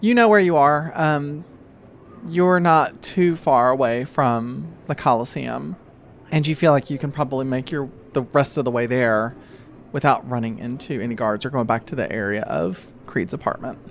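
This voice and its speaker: Male game master, medium pitch, halting improvised narration over faint noise. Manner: measured, halting second-person narration. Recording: steady noise behind the voice.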